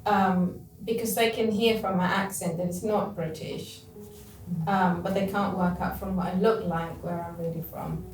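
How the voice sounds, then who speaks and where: A woman's voice: on the low side, steady tone, normal speed. A woman from Namibia, fondly recalling her upbringing in a documentary interview. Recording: echoey distant mic, faint music.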